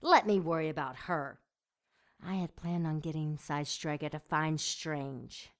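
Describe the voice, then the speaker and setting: A woman's voice: low-pitched, fairly even intonation, a little slow. A woman playing a seductive, domineering demon in an audio drama, with a sultry, mocking delivery. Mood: haughty, scheming. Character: seductive, sadistic female demon, taunting and domineering.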